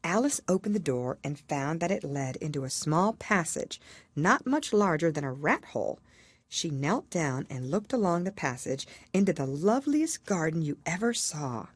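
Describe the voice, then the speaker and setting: A woman reading a storybook aloud as scripted narration, in an expressive, measured storytelling manner. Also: low voice, breathy edge, dry recording. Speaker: audiobook reader.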